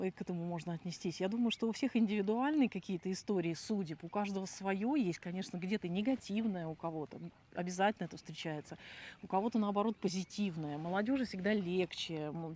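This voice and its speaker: A woman's voice: mid-range voice, brisk, warm tone. A middle-aged woman co-hosting a casual vlog, chatty and talking straight to her viewers. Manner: chatty, addresses viewers directly. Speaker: channel co-host.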